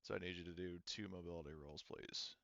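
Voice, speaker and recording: A man working as game master of a casual tabletop game, thinking aloud and explaining rules in a hesitant, halting way. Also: deep voice, fairly even intonation, vocal fry, sharp tone, no room sound, lo-fi sound.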